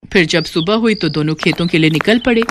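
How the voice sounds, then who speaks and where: A woman's voice: on the low side, a little fast, noisy background. A woman reading scripted narration for a children's moral story.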